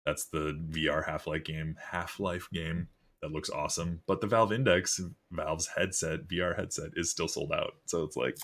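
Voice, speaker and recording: A deep-voiced young man co-hosting a casual chat about video game news, laid-back and swearing often. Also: fairly even intonation, moderate pace, a bit bright. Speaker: podcast co-host.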